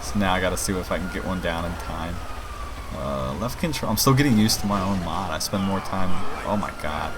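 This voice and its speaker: Low-voiced man playing over game noise. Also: fairly quick. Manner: informal, thinks aloud, addresses viewers. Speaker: gaming video host and mod maker. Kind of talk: casual let's play commentary. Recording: clear game rain and traffic noise. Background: video game rain, vehicles and city ambience.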